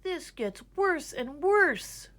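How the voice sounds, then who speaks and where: Woman as a whiny, slow young boy groundhog. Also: very high-pitched, animated, fairly bright tone, voice pushed up, heavily acted. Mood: worried, whiny.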